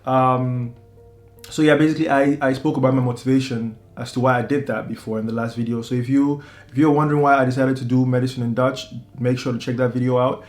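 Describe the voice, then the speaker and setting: A man in his twenties, a medical student, talking casually to the camera in a relaxed way, often restarting his sentences. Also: medium pitch, quick pace, faint music behind. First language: English. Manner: relaxed, repeats words and restarts, many ums. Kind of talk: casual talking-head vlog.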